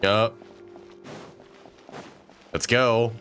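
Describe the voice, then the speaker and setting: A man's voice: medium pitch, fairly even intonation, very slow, fairly bright tone, faint music behind. A man streaming a video game, reacting in casual, crude commentary with sarcasm and frequent laughs.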